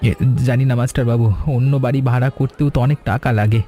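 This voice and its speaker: A man voicing a fast, mellow-voiced humble tea seller. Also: mid-range voice, steady tone, soft background music, a faint crowd, natural voice. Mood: grateful. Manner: anxious, stammering. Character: humble male tea seller, anxious husband. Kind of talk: animated cartoon voice acting.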